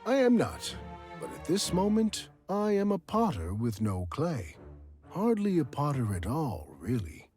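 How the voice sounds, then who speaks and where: Swooping, theatrical mystic potter. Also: male voice, fairly high pitch, huge pitch swings, unhurried, a little mellow, faint music behind. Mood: dramatic, wistful. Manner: lofty, cryptic, dramatic. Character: theatrical, philosophical male potter, mystical and melancholy. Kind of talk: video game voice acting.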